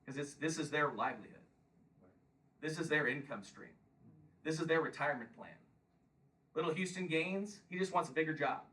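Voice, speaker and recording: A man's voice: on the high side, moderate pace, long pauses, bright, forceful tone, far from the mic, poor audio. A man in his fifties giving a combative campaign speech and taking questions at a local meeting, often pressing "Okay?". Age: 50s. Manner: combative, emphatic, repeats "Okay?". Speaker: congressional candidate.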